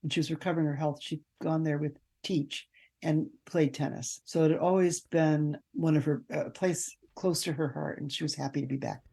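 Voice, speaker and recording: Female interview guest, author. Low-pitched, mellow voice, halting reflective storytelling. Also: fairly even intonation, vocal fry, slightly rough.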